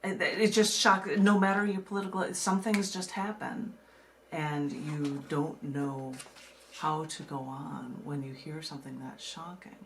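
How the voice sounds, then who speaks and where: A woman poet from the United States reading her own work and talking casually about it, in a measured way with dramatic pauses. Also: low voice, steady tone, a little hiss or hum. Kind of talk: poetry reading with casual asides.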